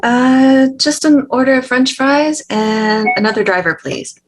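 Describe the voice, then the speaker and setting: A woman acting a brash, foul-mouthed but doting mother in a dramatic table read, with a husky voice. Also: sits high, lively intonation, a little slow. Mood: defensive.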